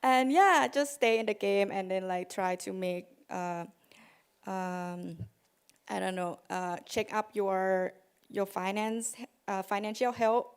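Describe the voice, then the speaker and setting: A woman wrapping up a talk in a hesitant, halting way, often pausing on "uh" and "like". Her voice is smooth and clear. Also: medium pitch, steady tone. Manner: halting, many fillers. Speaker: student intern presenter. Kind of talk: informal presentation.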